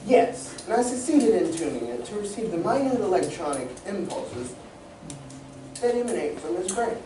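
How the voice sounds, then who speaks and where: A woman playing a proud, excitable, learned inventor in old radio serial style, speaking in a theatrical, professorial way. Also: medium pitch, normal speed, close to an everyday voice. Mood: earnest, explaining. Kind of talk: 1940s radio serial acting. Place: community playhouse stage reading. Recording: distant mic, echoey room, background noise.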